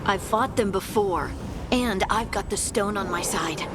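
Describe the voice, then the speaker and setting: A woman's voice: mid-range voice, unhurried, over music, lightly acted. A woman voicing a plucky determined scout. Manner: earnest and insistent. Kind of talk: video game cutscene voice acting. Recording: game audio with loud score.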